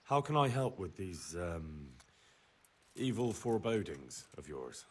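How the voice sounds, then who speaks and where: A man's voice: low-pitched, sing-song, unhurried, husky, heavily acted. A man playing an earnest, deferential young squire in a medieval video game, politely asking questions. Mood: helpful, inquiring. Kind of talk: scripted video game dialogue.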